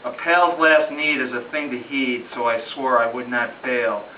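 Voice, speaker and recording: A man's voice: medium pitch, fairly even intonation, normal speed. A man giving a dramatic, rhythmic recitation of a narrative ballad as an amateur reader, telling it like a story with emphatic phrasing. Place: room in a home, at a gathering. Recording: roomy distant muffled home recording, faint noise.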